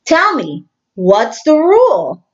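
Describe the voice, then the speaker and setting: A woman voicing a kind, patient female teacher in a storybook, gentle and coaxing. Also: high voice, wildly swooping pitch, takes it slowly, muffled recording, pitched above the performer's own voice, full character voice.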